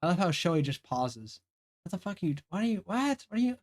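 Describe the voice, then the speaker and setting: A man with a light Southern accent chats on a live game stream in a slightly high voice. He rambles, trips over his own sentences, laughs a lot and swears freely. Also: big pitch swings, brisk. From Southern United States. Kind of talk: casual live-stream chat, reading game dialogue aloud.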